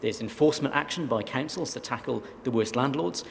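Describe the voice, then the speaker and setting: A man from South Wales, a council leader, giving measured, reasoned answers on a panel. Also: mid-range voice, creaky. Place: conference hall. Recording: reverberant hall, faint background noise.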